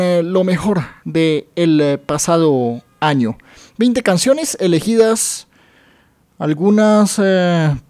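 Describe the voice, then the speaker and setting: A man hosting a music radio show, introducing songs in a relaxed, casual way with frequent "eh" pauses. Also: a little high. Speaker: radio DJ and host.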